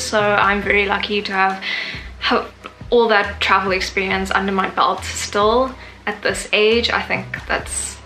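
Bright, chatty young woman vlogging. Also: medium pitch, rough recording. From Zimbabwe. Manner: chatty, upbeat, many "like" and "yeah" fillers. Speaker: YouTuber. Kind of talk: casual facts vlog to camera. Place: bedroom with a sofa, indoors. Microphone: camera in front at desk distance.

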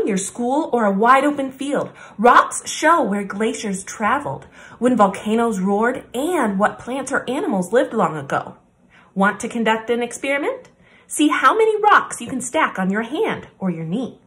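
Woman, children's read-aloud narrator, fairly high pitch, unhurried pace, expressive phrasing with pauses. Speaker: children's story time presenter.

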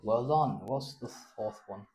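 A man's voice: on the low side, a little slow, a little mellow, low-quality recording. A young man giving a spoken English test, patiently prompting and correcting a student's answers in a small classroom. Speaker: English teacher.

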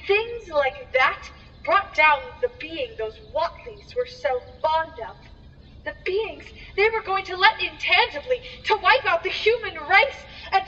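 A woman playing a grave, learned doctor in a radio drama, speaking in a solemn, deliberate, declamatory way. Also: very high voice, sharp tone, exaggerated voice. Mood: stern warning. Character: grave, learned doctor warning of otherworldly evil. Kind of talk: theatrical radio drama acting. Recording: muffled, echoing, distant, noisy.

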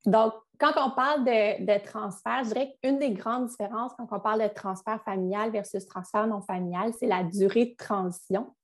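A woman's voice: medium pitch, normal speed, hardly any pauses. A young woman with a Quebec French accent giving a business webinar on a video call, in a chatty style with frequent restarts. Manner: conversational, with frequent restarts and fillers. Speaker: business succession advisor. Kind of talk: webinar presentation.

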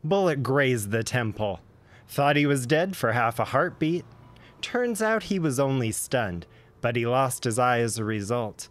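A man playing a droll, gossipy gentleman neighbor with a theatrical manner in a period audio drama. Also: medium pitch, big pitch swings, a little slow. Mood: confiding.

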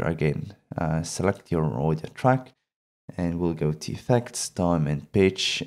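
A man presenting an instructional software tutorial as a voice-over, explaining things in a measured way on a close mic. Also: deep voice. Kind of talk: instructional screen-recording voice-over.

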